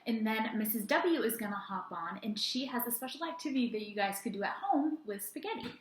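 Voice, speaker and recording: A woman teacher reading a story aloud and chatting to young children in an expressive way. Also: fairly high pitch, fairly quick, a little hiss or hum. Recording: roomy indoor echo.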